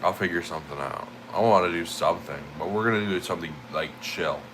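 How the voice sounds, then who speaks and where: A man's voice: sits low, quick pace, noisy background. A man livestreaming in casual chat, sniffly and stuffy-nosed, rambling and repeating himself in a laid-back way.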